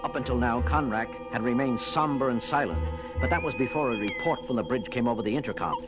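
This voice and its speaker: A man's voice: mid-range voice, fast, lightly acted. An actor playing a commanding starship captain, narrating his adventure in dramatic scripted style. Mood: puzzled, serious. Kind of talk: dramatic scripted first-person narration and dialogue. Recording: loud orchestral music behind voice.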